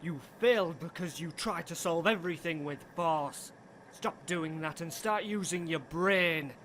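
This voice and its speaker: A man's voice: on the high side, unhurried, slight background noise. A man playing a cold, sardonic commander in his late twenties, curt and direct, in an audio drama. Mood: scornful. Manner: calm, direct, curt, disdainful. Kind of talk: radio-play acting.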